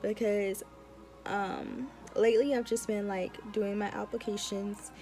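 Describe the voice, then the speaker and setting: A woman's voice: medium pitch, steady tone, measured pace, mellow, faint music behind. A young adult woman, a law student, chatting informally and giving advice in a casual vlog with emphatic repetition.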